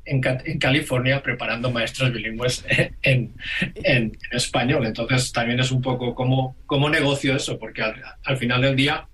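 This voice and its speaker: A man's voice: mid-range voice, steady tone, normal speed, a bit bright, poor audio. A man from Catalonia whose first language is Catalan, a guest speaking thoughtfully on a radio panel. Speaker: teacher trainer, guest.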